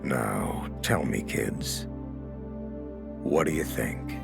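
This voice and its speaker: A protective, stern superhero mentor from a motion comic. The voice is extremely deep and gravelly, with grave, measured phrasing that swings with authority over clear music. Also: male voice, expressive, exaggerated voice. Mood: grave, resolute.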